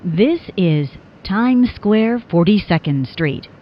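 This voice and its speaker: A woman's voice: medium pitch, animated, takes it slowly, highs cut off. A woman reading scripted transit announcements as a train announcer, in formulaic, carefully enunciated phrases that sound spliced together.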